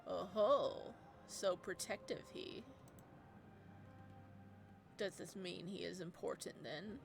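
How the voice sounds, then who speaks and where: A woman puts on a sneering shadow creature from a game story, low and raspy, dragging each word out slowly. It sounds unhinged and full of malice. Faint music plays behind it. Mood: menacing.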